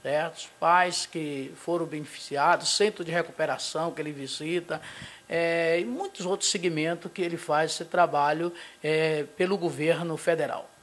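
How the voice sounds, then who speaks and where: A middle-aged man, a pastor from Brazil, giving a halting local TV interview full of fillers and restarts, speaking into a handheld mic in a small studio. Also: a little high, steady tone, fairly bright tone. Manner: hesitant, many fillers and restarts, tag questions. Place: small studio in front of a logo backdrop.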